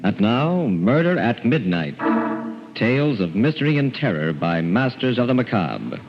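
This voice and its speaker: A man narrating in 1940s radio horror style as announcer, ominous, deliberate and portentous. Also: mid-range voice, very animated. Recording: muffled old radio recording. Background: dramatic music.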